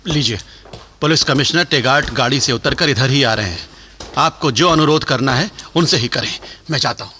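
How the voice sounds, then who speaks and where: Man playing a respectful, bright-voiced Indian informant. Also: mid-range voice, moderate pace, a little hiss or hum, close to an everyday voice. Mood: polite, official. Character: formal, respectful Indian man reporting to a leader. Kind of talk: radio play acting.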